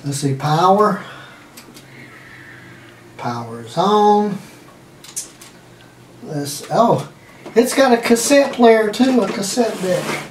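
A man's voice: high voice, lively intonation, slow, pauses often. An older man, around his sixties, thinking aloud in short fragments during a casual hands-on demonstration. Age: older, around 60s. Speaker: hobbyist demonstrator testing old electronics. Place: indoor room at home, seated at a wooden table. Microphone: camera mic a few feet away. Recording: roomy, distant mic, noisy with handling clicks.

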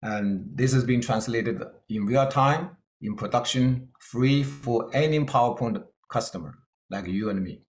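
A man's voice: mid-range voice, a little slow, roomy sound. Man with a Chinese-Scottish accent. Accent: Chinese-Scottish English. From China. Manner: earnest, plain-spoken, with hesitant fillers. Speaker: keynote speaker. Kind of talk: conference talk with slides.

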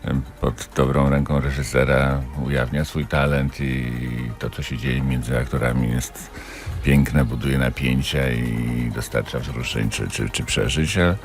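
A man discussing theatre as a radio interview guest, haltingly searching for his words. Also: very deep voice, fairly even intonation, faint music behind.

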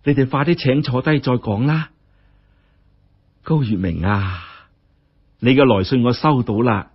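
Man putting on a husky, stout rich lady. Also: mid-range voice, a little slow. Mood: genial, magnanimous. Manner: breezy, magnanimous. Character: genial, stout wealthy lady in her forties. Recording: dull, muffled lo-fi audio.

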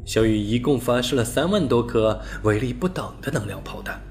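A man reading a science fiction novel aloud as an audiobook narrator, steady and storytelling, lifting his voice on key words. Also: medium pitch, fairly quick, faint music behind. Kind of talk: scripted sci-fi novel narration.

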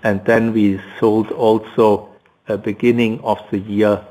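A man presenting quarterly financial results as a company executive, halting and often repeating words as he goes. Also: on the low side, flat delivery, warm tone, rough recording. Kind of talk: prepared results presentation to analysts, delivered loosely. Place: analysts' conference call, slides shown.

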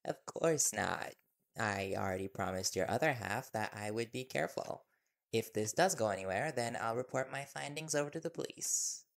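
A man's voice: medium pitch, moderate pace, vocal fry, natural voice. A man playing an earnest, nervous young reporter boyfriend in an intimate audio roleplay, hesitant and deferential. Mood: reassuring.

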